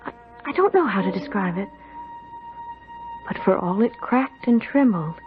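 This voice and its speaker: A woman's voice: mid-range voice, big pitch swings, moderate pace, natural voice. An actress playing an earnest young servant girl who tells her tale in a radio drama. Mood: reflective, uneasy. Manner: earnest, storytelling. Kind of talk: 1970s radio drama narration and dialogue. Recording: dull old radio recording. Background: prominent music.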